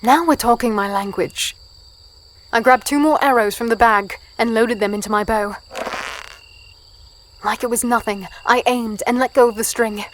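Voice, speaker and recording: High-voiced, plucky young-heroine fighter woman acting. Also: normal speed, pauses often, noisy background, natural voice. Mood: feisty banter. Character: plucky, spirited small female fighter and archer. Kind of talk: first-person dramatic narration and dialogue.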